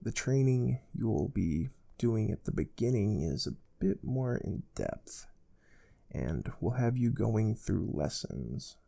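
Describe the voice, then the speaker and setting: A man playing a calm, soft-spoken space research team interviewer in an ASMR role play, explaining things gently and without hurry. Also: on the low side, takes it slowly, creaky, exaggerated voice. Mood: calm, businesslike. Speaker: ASMR performer.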